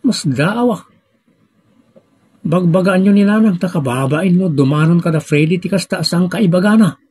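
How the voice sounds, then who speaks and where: A man's voice: high voice, moderate pace, a little mellow, slightly rough. A man with a Filipino accent playing a grumbling adult family member in an audio drama, talking conversationally. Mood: concerned.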